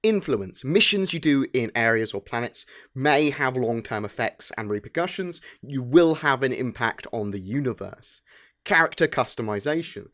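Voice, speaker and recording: A man's voice: medium pitch, fairly bright tone. A man presenting a gaming news roundup in an informal, chatty way, partly scripted. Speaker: host of a gaming news channel.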